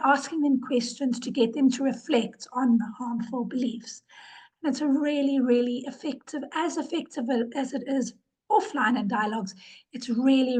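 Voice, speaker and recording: Woman presenter, ill and hoarse, high and husky, earnest webinar talk with fillers. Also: a little mellow, rough recording. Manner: earnest, repeats words for emphasis, with fillers. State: ill, losing her voice. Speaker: webinar presenter, organization director.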